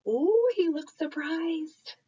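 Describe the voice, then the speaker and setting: A woman reading a picture book aloud as an educator, talking to children and parents in an expressive, encouraging, questioning way. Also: extremely high pitch, slow pace, recorded from a distance, highs cut off.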